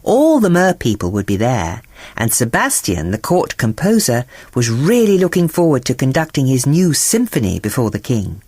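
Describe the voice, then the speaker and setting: A woman narrating a scripted children's bedtime story in an expressive, theatrical storytelling manner. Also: extremely low pitch, normal speed, breathy edge, no room sound.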